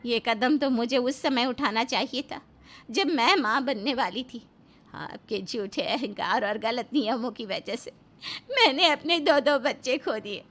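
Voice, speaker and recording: A woman's voice: a little high, measured pace, fairly bright tone. A woman voicing a defiant, aggrieved wife in a family moral-story cartoon, assertive and dramatic.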